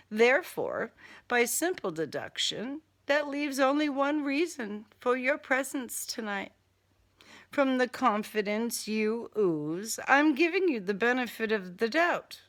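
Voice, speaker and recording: A woman's voice: fairly high pitch, fairly bright tone, full character voice. A woman voicing a smug male serial killer in an audiobook, with polite, condescending, formal diction and a taunting menace.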